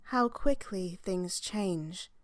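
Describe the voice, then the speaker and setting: A woman playing a grave storyteller, narrating a scripted fantasy tale in a deliberate, suspenseful way. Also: on the low side, dry recording. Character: grave, measured female storyteller.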